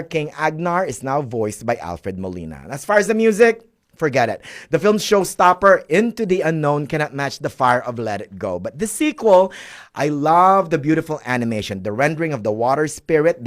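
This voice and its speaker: A man talking through movie reviews with a lively, animated voice that swings up and down. He sounds playful, tossing in jokey sign-offs at the end of his reviews. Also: mid-range voice. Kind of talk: radio movie review chat.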